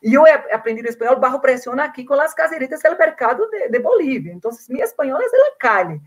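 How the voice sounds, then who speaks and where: A woman's voice: high voice, sing-song, a little fast. A Brazilian woman speaking Spanish as a second language with a Portuguese accent, giving an earnest conference talk over a video call and repeating words as she searches for them. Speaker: invited speaker. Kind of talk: online conference talk, answering questions.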